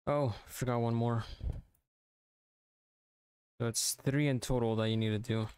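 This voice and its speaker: Clear-voiced man giving a casual tutorial. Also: medium pitch, steady tone, measured pace, darkish tone, no echo, studio quality. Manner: informal, thinking aloud step by step. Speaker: tutorial presenter. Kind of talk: casual software tutorial narration.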